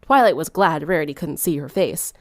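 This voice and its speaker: A woman's voice: low voice, animated, a little fast, a little mellow, no room sound, studio quality. A woman reading fan fiction aloud as scripted third-person narration.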